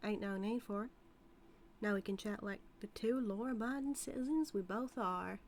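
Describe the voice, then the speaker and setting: A woman's voice: mid-range voice, normal speed, slight background noise, lightly acted. A woman playing a flirty, dominant outlaw cowgirl with a Southern US accent in a scripted audio roleplay, drawling and teasing. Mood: teasing, sly. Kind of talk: scripted audio roleplay, intimate character acting.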